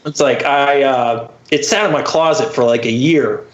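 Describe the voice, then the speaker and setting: A man's voice: medium pitch, moderate pace, dull audio. A man in his thirties telling a personal story as a podcast guest in a casual, wry, self-deprecating way. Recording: roomy, echoey podcast recording.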